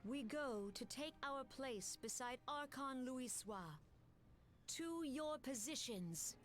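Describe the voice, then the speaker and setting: An actress playing a grave, uneasy woman seer in a fantasy game cutscene, solemn and measured. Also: high voice, expressive, faint music behind. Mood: commanding.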